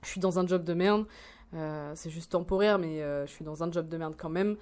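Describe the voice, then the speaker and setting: A woman's voice: lowish voice, normal speed, a little mellow, clean tone. A woman in her twenties, rambling and swearing as she reacts to a video in casual slang. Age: 20s.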